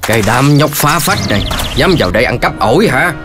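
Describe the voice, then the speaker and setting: A man's voice: mid-range voice, lively intonation, a little fast, lightly acted. A man voicing a stern, exasperated middle-aged father in a children's cartoon, lecturing emphatically with a Southern Vietnamese accent. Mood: angry. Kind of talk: children's cartoon dub acting. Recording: loud music behind the voice.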